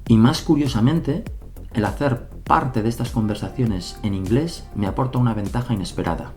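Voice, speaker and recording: A man with an accent from Spain, narrating his own game-development devlog in an earnest, measured way. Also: medium pitch, a little mellow. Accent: Spain Spanish. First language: Spanish. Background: background music.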